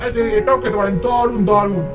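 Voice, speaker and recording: An eager street ice cream seller from a puppet doll story, played by a man, with an unusually high voice. He calls out persuasive patter from a little way off, over clear music. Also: fairly even intonation, fast talker, natural voice. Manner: calling out, salesman's patter. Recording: distant mic with music behind.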